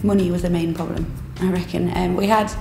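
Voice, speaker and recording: Chatty young woman in her twenties, a bit gravelly, lots of "like", music under her, roomy sound. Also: lowish voice, level tone, a little fast, darkish tone. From England. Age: 20s. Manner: casual, chatty, laughs often, says "like". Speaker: bakery co-owner. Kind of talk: interview answers. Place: cafe interior, seated on sofa.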